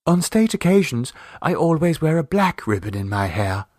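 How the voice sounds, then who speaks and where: A man's voice: a little high, sing-song, normal speed, darkish tone, dry recording, studio quality, pitched above the performer's own voice. A man voicing a self-assured, mischievous sixteen-year-old Edwardian girl who tells tall tales in a grand, breezy manner for a story reading. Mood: crushing dignity.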